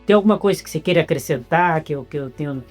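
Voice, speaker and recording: Woman, Brazilian accent, low voice, chatty interviewer, halting word repetitions, music underneath. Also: darkish tone. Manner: halting, repeats words while searching for phrases.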